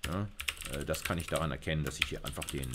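Very deep-voiced male lecturer. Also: fast, very noisy. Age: middle-aged. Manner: informal, thinking aloud while working. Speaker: university lecturer. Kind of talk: computer science lecture with live coding. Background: computer keyboard typing.

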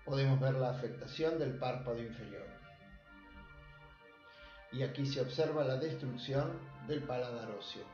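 An Argentine man, a surgeon, reads a medical case presentation in a measured, formal tone. His voice is mellow and relaxed, and it sounds dull and a little roomy, recorded at a desk webcam in a small office. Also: medium pitch, soft background music. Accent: Argentine Spanish. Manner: measured, formal clinical reading.

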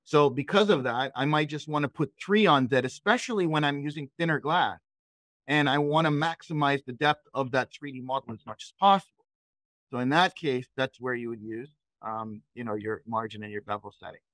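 A middle-aged man walks learners through software in a conversational tutorial style. His voice sits at a medium pitch and is recorded dry, with very little room sound. He explains step by step, posing questions as he goes. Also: a little fast, no room sound. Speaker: trainer leading a live software session. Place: indoors, on a video call.

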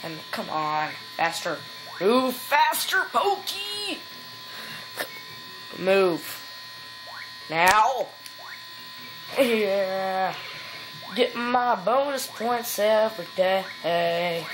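A woman's voice: mid-range voice, lively intonation, very slow, long pauses, background noise. Rambling giggly woman commenting on a game. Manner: rambling, giggly, lots of fillers and silly rhymes. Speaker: gameplay commentator. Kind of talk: casual let's play commentary. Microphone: phone filming the TV.

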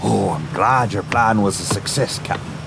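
An actor playing a bloodthirsty pirate first mate in an audio drama. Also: male voice, lowish voice, moderate pace, vocal fry. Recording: noisy ambience behind the voice.

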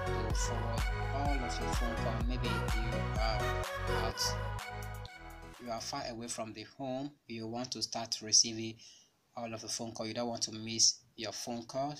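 A man hosting an instructional tech tutorial as a voice-over, explaining things step by step. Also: mid-range voice. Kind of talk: instructional screen-recorded tutorial narration. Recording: loud music bed under voice-over. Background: loud background music.

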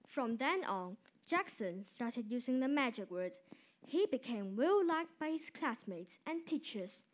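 A girl narrates a school radio drama in a storytelling manner. Her voice is high, and the pitch moves animatedly through each line. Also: big pitch swings, a little slow.

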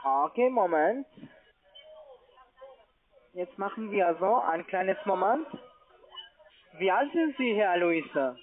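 A man's voice: sits high, sing-song, slow, pauses often. A man with a foreign accent, a non-native speaker, walking a customer through steps as a call centre agent. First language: not German. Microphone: phone. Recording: dull, lo-fi phone line.

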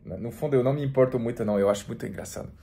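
A man in his forties from France, speaking Portuguese with a French accent in a relaxed, chatty vlog to camera, full of restarts and fillers. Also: medium pitch, very fast. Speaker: French teacher and vlogger. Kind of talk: casual talk to camera.

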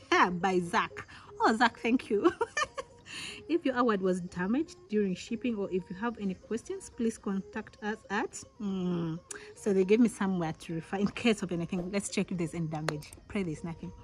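A young woman from Uganda, a vlogger, unboxing outdoors in a village yard, talking with giddy excitement, laughing often and exclaiming. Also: medium pitch, moderate pace. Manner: giggly, breathless with excitement, frequent laughs and exclamations. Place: outdoors in a rural village yard, seated on a mat.

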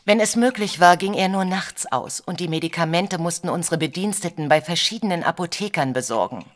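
Eine Schauspielerin spricht eine vornehme, diskrete, besorgte viktorianische Ehefrau, die als Klientin in einem deutschen Hörspiel förmlich und gemessen berichtet. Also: female voice, on the low side, natural voice. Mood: indignant, uneasy. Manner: formal, measured storytelling. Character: proper, discreet Victorian married lady, troubled client. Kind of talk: German audio drama acting.

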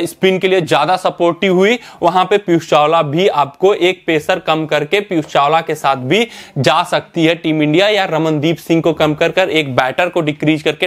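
A young man presenting a cricket analysis to camera in a studio, explaining steadily with fillers and self-corrections. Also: fairly high pitch. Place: indoor studio in front of a screen.

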